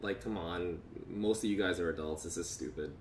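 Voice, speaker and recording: Low forceful male voice, casual slangy talk, sweary, roomy echo, faint rumbling noise. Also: moderate pace. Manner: blunt, sweary. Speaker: host's roommate, guest.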